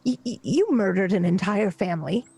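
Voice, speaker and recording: A woman playing a character in a tabletop game, talking in an animated way with stammers and restarts. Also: mid-range voice, a little fast, gravelly, husky. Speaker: player.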